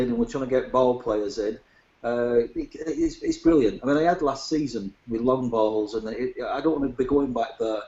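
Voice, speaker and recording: Male fan pundit, medium pitch, opinionated and conversational, recorded in an echoey room. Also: a little mellow. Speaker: football fan guest. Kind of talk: casual chat. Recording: roomy, dull lo-fi voice chat.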